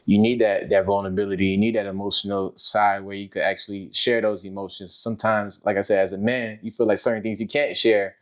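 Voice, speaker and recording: A man with a fairly low voice speaks as a podcast guest. He reflects in a relaxed, informal way, working through his thoughts without hurry. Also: quick pace, hardly any pauses. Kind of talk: casual heartfelt conversation.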